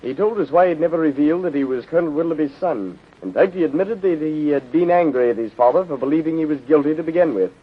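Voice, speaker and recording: An actor playing a brisk, chipper Scotland Yard inspector giving matter-of-fact reports in a 1930s radio drama. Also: male voice, on the high side, expressive. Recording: muffled, lo-fi old radio recording.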